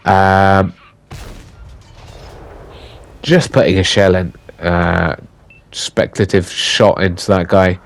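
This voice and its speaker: Croaky, stuffy-nosed man commentating gameplay. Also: low-pitched, flat delivery. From United Kingdom. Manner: halting, with many fillers and restarts. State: croaky voice with a blocked nose from illness. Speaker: gaming commentator.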